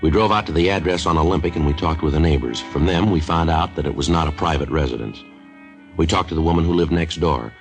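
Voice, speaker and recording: An actor playing a terse, matter-of-fact American police detective who also narrates a 1950s radio drama. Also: male voice, a bass voice, fast talker. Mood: matter-of-fact narration. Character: male Los Angeles police detective, terse and businesslike. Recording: old radio recording with music.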